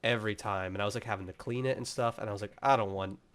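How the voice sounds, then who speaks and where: A man's voice: on the low side, steady tone, racing, fairly bright tone, smooth. A young man co-hosting a podcast, chatting casually and rambling with lots of "like", sounding a bit congested from a cold. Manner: rambling, heavy use of filler "like". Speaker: podcast co-host. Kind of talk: casual chat.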